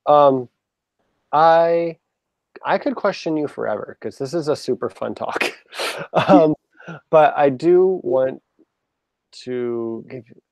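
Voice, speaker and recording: Young man, host, video call, gravelly voice, dry sound, chatty. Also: fairly high pitch, takes it slowly, pauses often, no room sound. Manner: chatty, laughs easily, asks rambling questions. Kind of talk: casual video-call interview. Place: home room with a shelf of collectibles. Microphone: video call webcam.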